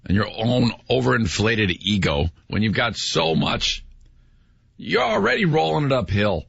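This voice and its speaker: A man's voice: fairly low pitch, big pitch swings, measured pace, gravelly, fairly bright tone, slightly rough, no room sound. A man in casual chat, emphatic and opinionated, throwing out questions to the others. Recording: dry, dull lo-fi podcast audio.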